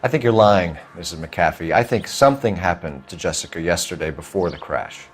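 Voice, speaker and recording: Male, American police detective, slightly low pitch, formal, insistent, measured, video game drama. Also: soft background music. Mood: firm, grave. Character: earnest, polite but firm male police detective in 1940s Los Angeles.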